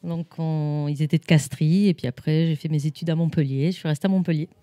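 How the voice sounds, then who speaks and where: Calm female painter with a Parisian accent, low and mellow. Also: quick pace, dry recording. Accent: Parisian French. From Spain. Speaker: guest painter. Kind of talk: casual chat.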